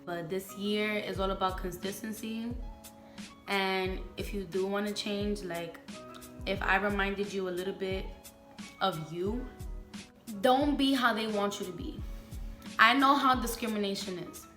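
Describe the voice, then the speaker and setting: Candid young Bronx woman, bright echoey voice. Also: medium pitch, fairly even intonation, measured pace, pauses often, faint music behind. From the Bronx, New York. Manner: candid and emphatic, heavy slang, talks to viewers as "sis" and "girl". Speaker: beauty vlogger. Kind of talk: casual get-ready-with-me chat.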